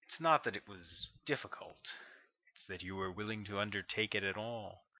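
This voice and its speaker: A man voicing a young, charismatic army colonel who is smooth and ruthless, speaking with confident command in an audiobook reading. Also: on the low side, normal speed, no room sound, lo-fi sound, pitched below the performer's own voice.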